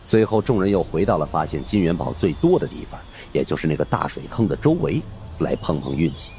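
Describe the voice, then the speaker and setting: A man's voice: low voice, very animated, brisk, a little mellow, a little hiss or hum. A man narrating a horror story in a suspenseful, theatrical way. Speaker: audiobook narrator.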